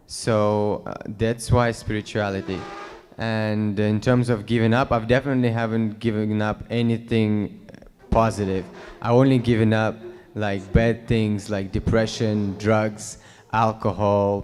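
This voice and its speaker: A young man from Russia, sincerely recounting his life as an interview guest, halting with fillers. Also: lowish voice, darkish tone, smooth. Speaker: guest, new monk. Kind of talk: earnest personal account. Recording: long hall reverb.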